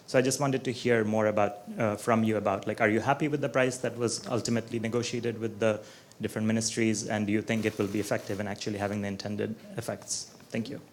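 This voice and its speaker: Fast-talking man with a low voice. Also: flat delivery, a little hiss or hum. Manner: rapid, hesitant, lots of "uh" and "like". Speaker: graduate student asking a question.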